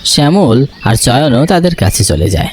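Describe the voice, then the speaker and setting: A man's voice: mid-range voice, sing-song, normal speed, slight background noise. A man narrating a scripted horror story for a cartoon.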